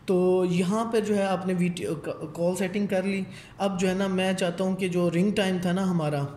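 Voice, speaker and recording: Higher-pitched man in an echoey room. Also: darkish tone. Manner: repeatedly checks in while instructing, mixes English technical terms. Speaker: technical trainer. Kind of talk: casual step-by-step tutorial. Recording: echoey room with faint background noise.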